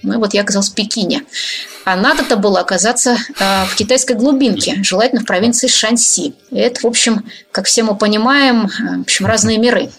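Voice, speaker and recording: A woman's voice: mid-range voice, fast talker, faint music behind. A woman researcher answering interview questions thoughtfully, with many fillers and restarts.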